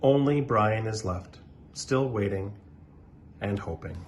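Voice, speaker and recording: A man reading a children's story aloud in an animated, expressive way, with dramatic pauses. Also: fairly low pitch, a little hiss or hum.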